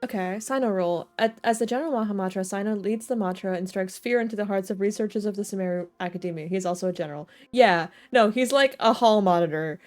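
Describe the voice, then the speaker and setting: A woman's voice: medium pitch, quick pace, hardly any pauses, a little mellow. A woman livestreaming a game with casual, slangy, self-deprecating commentary and jokes. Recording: game music playing behind voice.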